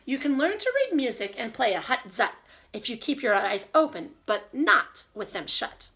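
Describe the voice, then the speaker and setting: A woman teacher reading aloud and giving a lesson to young children in an expressive, child-directed way. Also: a little high, moderate pace, gravelly, a little hiss or hum. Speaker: early elementary teacher.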